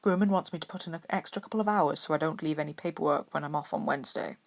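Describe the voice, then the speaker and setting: A woman voicing a calm, polite, caring father in a story reading, in close to her own voice. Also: deep voice, very fast, creaky. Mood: affectionate. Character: calm, smooth, doting father, a working man.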